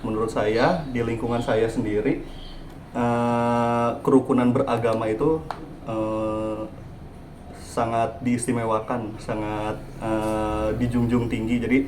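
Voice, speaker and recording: A man's voice: mid-range voice, level tone, takes it slowly, a little mellow, a little hiss or hum. A man in his twenties answering interview questions in a hesitant way, with frequent filler sounds. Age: 20s. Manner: hesitant, many "eh" fillers and "gitu". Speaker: interviewee, entrepreneur. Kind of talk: casual spoken answers. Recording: roomy echo.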